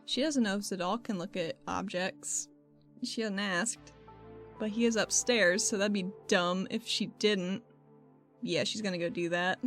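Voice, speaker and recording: A woman's voice: mid-range voice, steady tone, measured pace, a bit bright, soft background music. A woman chatting casually as a player in a tabletop game.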